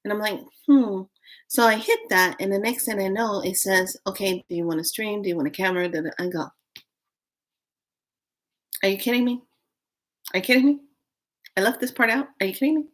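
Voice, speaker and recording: A woman's voice: medium pitch, brisk, pauses often. A woman in her late fifties chatting casually to viewers on a live stream, rambling through a story. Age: 50s. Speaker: vlogger.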